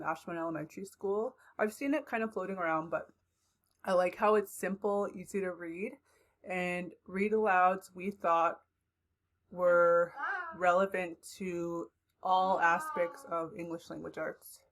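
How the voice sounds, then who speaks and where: A young woman giving an informal presentation as a student teacher. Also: fairly low pitch.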